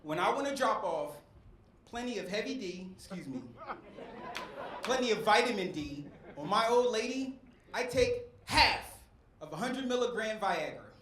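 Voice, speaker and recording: A man's voice: high-pitched, animated, normal speed, fairly bright tone. A middle-aged man from West Philadelphia doing stand-up, working the crowd in a preacher-like cadence. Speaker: stand-up comedian. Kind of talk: stand-up set. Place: comedy club stage. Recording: echoey club with faint crowd noise.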